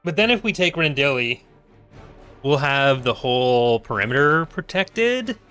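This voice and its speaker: A man's voice: mid-range voice, very animated, a little slow, pushed and bright, smooth, faint music behind. A man hosting a gaming video with casual, rambling, wryly humorous playthrough commentary.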